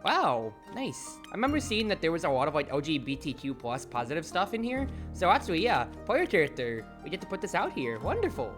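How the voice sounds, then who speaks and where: A woman's voice: sits low, big pitch swings, brisk, few pauses. A woman hosting a casual game stream, talking in a chatty, rambling, playfully theatrical way. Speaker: streamer and host. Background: game music.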